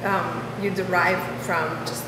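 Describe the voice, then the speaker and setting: Woman, deli co-owner, halting restarts, distant mic, long reverb. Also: on the low side, little pitch movement. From Russia. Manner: warm, halting, with many restarts. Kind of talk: interview answers. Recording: echoey hall, mic far off, noisy.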